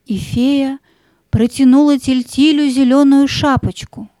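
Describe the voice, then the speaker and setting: A woman narrator reading a scripted fairy tale in an expressive, deliberate storytelling manner. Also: sits high, no room sound.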